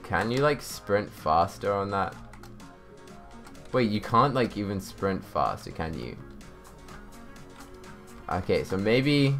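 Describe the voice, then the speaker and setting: Young man, lowish pitch, casual game streamer, chatty, thinking aloud, reading chat, music in the background. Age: young adult. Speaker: livestreamer. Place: indoors, small home room.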